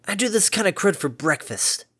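A woman's voice: mid-range voice, big pitch swings, moderate pace, a bit bright, slightly rough, pitched above the performer's own voice. A woman voicing a cocky, foul-mouthed female pony adventurer with a sarcastic edge.

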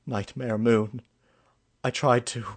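A man voicing a grown, regal pony mare in a storybook reading, burdened yet gentle, with formal, measured wording. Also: medium pitch, flat delivery. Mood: guilty confession.

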